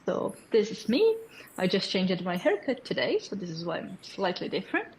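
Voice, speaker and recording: A woman's voice: medium pitch, huge pitch swings, moderate pace, a little hiss or hum. A woman in her thirties from Brazil, a native Portuguese speaker with a Brazilian accent, presenting a developer tool in a friendly, explanatory way with fillers and check-in questions. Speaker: developer community lead presenting at a hackathon. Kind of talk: technical presentation.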